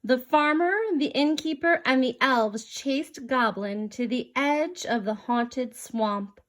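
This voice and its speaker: Storybook reader: woman, high voice, slow pace, expressive narration for children.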